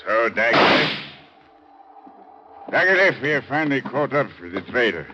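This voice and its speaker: Agitated, animated Soviet henchman, forceful voice. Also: mid-range voice, normal speed. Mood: urgent, alarmed. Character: agitated Soviet henchman reporting to his superior. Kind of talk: 1950s radio drama acting. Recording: muffled, noisy old radio recording.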